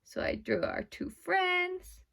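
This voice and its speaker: A woman's voice: extremely high pitch, wildly swooping pitch, slow pace, creaky, a bit bright. A woman teacher leading a read-aloud lesson for young pupils, explaining in simple phrases and guiding them with questions. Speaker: elementary school teacher.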